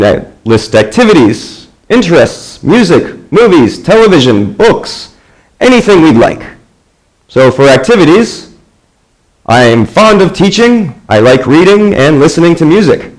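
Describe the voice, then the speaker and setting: Man in his thirties from the US, English teacher, slightly high voice, lively rising intonation, clear and deliberate. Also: poor audio. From United States. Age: 30s. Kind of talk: scripted classroom narration.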